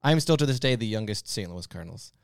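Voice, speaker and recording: A man's voice: lowish voice, animated, fast talker, vocal fry, clean tone, no room sound, studio quality. A man co-hosting a podcast in casual panel banter, with an informal delivery. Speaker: podcast co-host.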